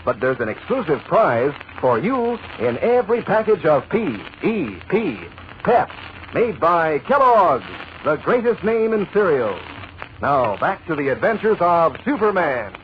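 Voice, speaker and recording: A man's voice: a little high, sing-song, measured pace. A man announcing a folksy 1940s radio advertisement, chummy and salesmanlike. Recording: muffled, hissy 1940s radio recording.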